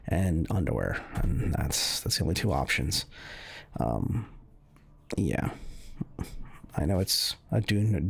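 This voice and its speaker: Low, gravelly man talking through a game. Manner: halting, rambling, hedging delivery. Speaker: gaming video host. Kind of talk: casual game walkthrough commentary.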